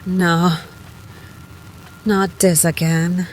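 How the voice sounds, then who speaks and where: A woman playing a haunted, grieving young adventurer in an audio drama, with an anguished, halting delivery. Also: on the low side, level tone, slight background noise, rough recording. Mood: anguished, bitter. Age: young adult. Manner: anguished, halting, breathy at times.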